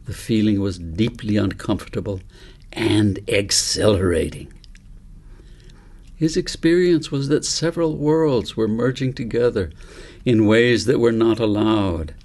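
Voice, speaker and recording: Gravelly, low-voiced man reading a novel. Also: a little slow, a little mellow. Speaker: author reading his novel. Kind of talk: scripted audiobook narration.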